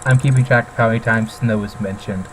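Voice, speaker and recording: A man's voice: fairly low pitch, steady tone, fast, slight background noise, low-quality recording. A man making dry, sardonic remarks in casual, mocking banter as a co-host. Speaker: co-host commenting on the reading.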